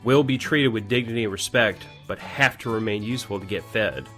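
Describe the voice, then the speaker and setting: Guy acting a no-nonsense army major, direct and principled, radio drama, music low underneath. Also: a little fast, bright, forceful tone. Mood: earnest, resolute. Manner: direct, earnest, businesslike. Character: firm, principled army major leading his men.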